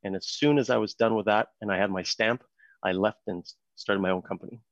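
A man in his thirties from Montreal with a French Canadian accent, whose first language is French, telling his story as a podcast guest with relaxed ums and restarts. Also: lowish voice, brisk, no echo, lo-fi sound. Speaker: podcast guest, company co-founder. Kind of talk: casual interview talk.

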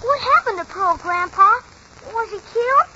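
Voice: very high-pitched, lively intonation, normal speed, sharp tone, muffled recording, full character voice. A performer playing an eager, curious young boy questioning a grandfather in a 1940s radio drama. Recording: noisy, band-limited 1940s radio recording.

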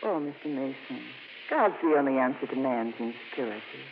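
An actress playing an earnest, devout nun with a polite, gently insistent manner in a 1950s radio drama. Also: female voice, extremely low pitch, expressive, fairly quick, background noise. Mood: polite, curious. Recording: muffled, reverberant 1950s radio broadcast.

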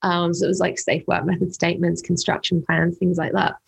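A young woman from England with an Australian accent, her fairly low voice husky and full of creak. She chats through a relaxed interview as a company co-founder, filling gaps with "um" and doubling her words. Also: a little fast. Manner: chatty, filler-heavy, repeats words. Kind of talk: casual interview storytelling.

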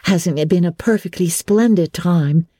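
Woman voicing a wistful, high-pitched teenage girl. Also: measured pace, warm tone, breathy edge, dry recording, studio quality. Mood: wistful, excited. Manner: sighing, wistful. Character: Jane, wistful teenage girl dreaming of riches.

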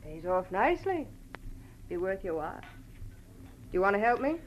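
A woman acting a brassy, sharp-tongued pulp writer in a 1940s radio drama, American accent, sassy, cool and teasing. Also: mid-range voice, very animated, a little slow. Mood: sly, bargaining. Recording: noisy old radio recording.

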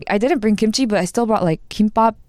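A woman's voice: mid-range voice, fast, a little mellow, dry recording, studio quality. A young woman who was born in Korea and speaks Korean as her first language, chatting as a podcast guest. She tells stories with lots of laughter and acts out the voices she quotes. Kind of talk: casual chat.

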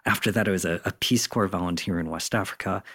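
A man's voice: low voice, fast, a bit bright, slightly rough. A man speaking as an interview guest, explaining things in an enthusiastic, conversational and emphatic way. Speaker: interview guest, content designer.

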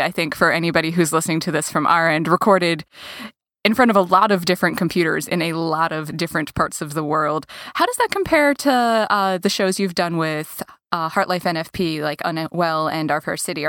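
A woman with a slightly low voice conducts a conversational interview. Her voice is recorded very dry, with no audible room around it. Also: brisk, a bit bright, no room sound.

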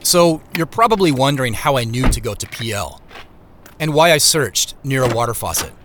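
An actor with an American accent playing a reflective man who narrates his road trip in a measured, literary audio drama style. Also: medium pitch, sing-song, noisy background, close to an everyday voice. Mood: matter-of-fact storytelling.

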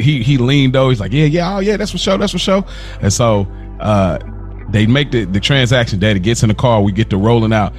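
A young man co-hosting a podcast in casual chat, telling stories in an animated way and laughing often. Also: mid-range voice. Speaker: podcast co-host.

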